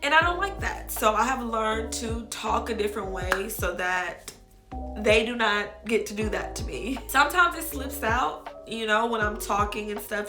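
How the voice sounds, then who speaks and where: A young woman from Texas, a content creator, chatting candidly to camera in a casual vlog and calling her viewers y'all. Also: a little high. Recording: echoey room with music playing.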